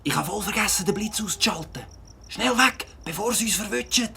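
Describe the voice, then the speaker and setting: A woman's voice: mid-range voice, animated, a little fast, vocal fry, pushed and bright, slight background noise. A woman playing a young, questioning member of a group of adventurers in a children's audio drama. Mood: urgent.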